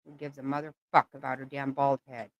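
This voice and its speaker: A woman's voice: a bass voice, little pitch movement, brisk, no echo, lo-fi sound. A woman giving blunt, exasperated reaction commentary, swearing often. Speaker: channel host reacting to clips.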